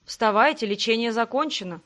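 Woman as horned young receptionist, high voice, polite, friendly, fantasy audiobook. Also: expressive, fairly quick, a bit bright. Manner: courteous, friendly.

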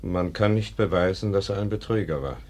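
Man acting a grave deep-voiced professor. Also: fairly quick, a little mellow, a little hiss or hum, lightly acted. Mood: mournful, solemn. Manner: grave, solemn, accusing. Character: compassionate, bitter professor, devout old teacher. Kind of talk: German radio play acting.

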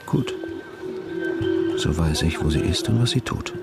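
A cold, calculating man in a radio thriller, speaking in a deep voice. He is terse, controlled and menacing, with loud music beneath him. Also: huge pitch swings, unhurried, vocal fry, heavily acted. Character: cold, calculating, menacing male stranger posing as husband. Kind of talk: radio drama inner monologue and dialogue.

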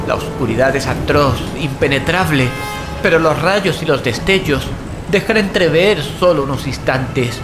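Man acting a higher-voiced, grim sea survivor. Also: lively intonation. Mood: awed dread. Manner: dramatic, deliberate, ominous. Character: grim, awed survivor steering a yacht from monsters. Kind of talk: horror audio drama, dramatic first-person narration. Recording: loud music over the voice.

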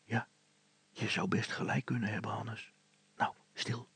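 A man voicing a furtive, grumbling older father in a storybook, hissing in a hushed voice. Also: lowish voice, fairly even intonation, measured pace, gravelly, husky, heavily acted. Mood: wary, hushed.

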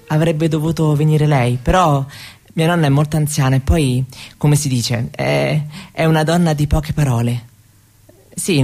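A woman's voice: deep voice, gravelly, mellow, heavily acted. An actress playing a young Roma woman who shyly tells her grandmother's story in a hesitant, conversational theatre monologue. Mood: shy, friendly. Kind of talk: theatrical monologue told as spoken testimony.

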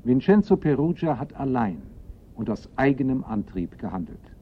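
A man's voice: medium pitch, big pitch swings, normal speed, slightly rough. A man narrating a criminal case in a radio play, in a sober, formal, factual manner. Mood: sober, summing up. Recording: muffled, narrow-band old radio recording.

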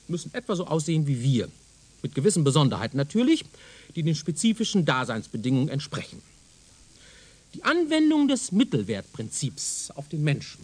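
A self-important professor in a radio drama. His voice is high and swoops animatedly as he lectures with condescending emphasis. There is a husky rasp to it, and he sounds thoroughly sure of himself. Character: pompous, self-assured male museum professor, excitable and condescending. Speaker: radio play actor. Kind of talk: German radio drama acting.

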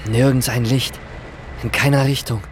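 A man's voice: mid-range voice, fairly even intonation, normal speed, background noise, natural voice. A man playing an edgy, jaded banker in a radio crime drama, speaking in terse, clipped phrases. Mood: tense, terse. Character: male banker, jaded, edgy, caught in a mystery. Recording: music behind the voice.

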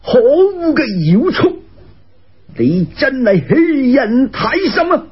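A man's voice: extremely high pitch, very animated, slow, husky, voice pushed up, exaggerated voice. A man with a Cantonese accent voicing a proud, protective old male dragon in a storytelling tale, boastful and emphatic. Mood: indignant, boastful. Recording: muffled lo-fi radio recording.

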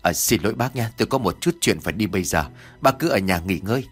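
A male storyteller acts an older, conscientious village chief. He speaks low and plainly, with heartfelt earnestness. Faint music runs underneath. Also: expressive, quick pace, breathy edge. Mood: hurried, polite.

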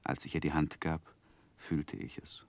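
An actor playing a grown man haunted by his past, narrating gravely and haltingly in a 1960s German radio drama. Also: a bass voice, flat delivery, a little mellow. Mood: grave, reflective.

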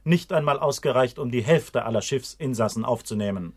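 An actor playing a sober narrator in a documentary radio drama, formal and matter-of-fact. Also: male voice, medium pitch, brisk, darkish tone, slightly rough, lightly acted. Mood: critical. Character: sober male narrator reciting charges and fates.

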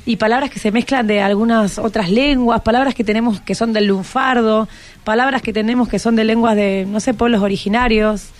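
A woman's voice: fairly high pitch, steady tone, fairly quick. An Argentine woman hosting an informal radio interview, chatty and encouraging, often thinking aloud. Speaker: radio host interviewing students. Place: radio broadcast at a microphone.

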